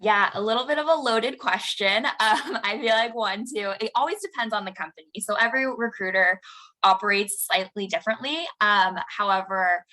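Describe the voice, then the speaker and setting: A woman campus recruiter speaking casually on a video-call panel, friendly and relaxed. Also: mid-range voice, normal speed, poor audio. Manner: friendly, relaxed, laughs lightly.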